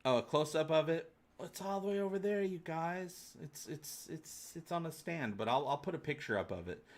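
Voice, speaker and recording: A man's voice: a little high, animated, moderate pace. Casual, rambling man hosting a livestream. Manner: rambling, jokey, colloquial. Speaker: host of a fan livestream. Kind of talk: casual chat, answering live viewer comments.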